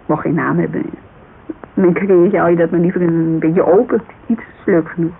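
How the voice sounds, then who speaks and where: A woman's voice: fairly low pitch, fairly even intonation, moderate pace, gravelly. An actress playing a guarded, candid agency owner in her thirties in a naturalistic Dutch radio drama, speaking colloquially and searching for words. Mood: guarded, coy. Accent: Dutch, Netherlands. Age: 30s. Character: thirty-three-year-old Amsterdam model agency owner, guarded, wistful, candid. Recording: narrow, hissy old radio recording.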